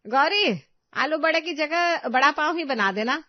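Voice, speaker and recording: A bossy, sarcastic middle-aged mother-in-law, acted by a woman storyteller, grumbles and nags theatrically in a domestic audio tale. Her voice is loud, in a dry, slightly dull recording. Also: high-pitched, lively intonation, measured pace, no room sound, voice pushed up. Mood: bossy calling.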